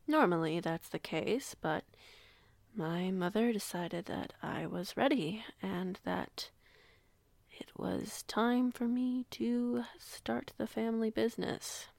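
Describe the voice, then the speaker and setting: A woman playing a composed, polite werewolf pack alpha in her late twenties in an audio roleplay, speaking in measured, formal phrases. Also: medium pitch, creaky, a little mellow, no echo. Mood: matter-of-fact. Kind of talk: audio roleplay acting, conversation.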